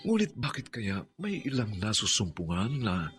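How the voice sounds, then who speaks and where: Deep, solemn male radio narrator. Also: sing-song, fairly bright tone, faint music behind. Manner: dramatic, measured phrasing. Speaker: drama narrator.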